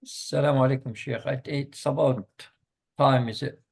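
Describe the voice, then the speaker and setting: Gravelly, husky man asking politely. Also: mid-range voice, fairly even intonation, moderate pace. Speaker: participant in a study session.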